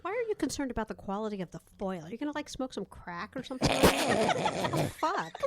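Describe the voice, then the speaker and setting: A woman's voice: on the high side, very animated, fairly quick, very noisy. A woman on a comedy podcast bantering casually, blunt and crude in her joking.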